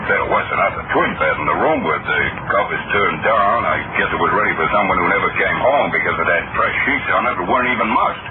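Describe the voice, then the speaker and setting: An actor playing a plodding, by-the-book policeman with a folksy, slow-witted manner in an old-time radio drama. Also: sits low, lively intonation. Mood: matter-of-fact. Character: plodding, by-the-book male beat cop. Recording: tinny, very noisy old radio recording.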